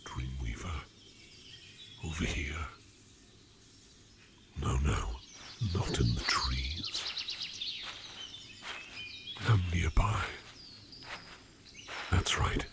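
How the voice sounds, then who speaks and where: A man voicing a courtly, curious sleep demon in human disguise, speaking formally in a breathy, half-whispered ASMR roleplay with deliberate pauses. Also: very deep voice, lively intonation, very noisy, full character voice. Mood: soft, courteous. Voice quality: breathy, often dropping into whisper. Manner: formal, archaic, deliberate phrasing with many pauses. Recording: birdsong and forest ambience behind voice. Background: birdsong, outdoor nature sounds.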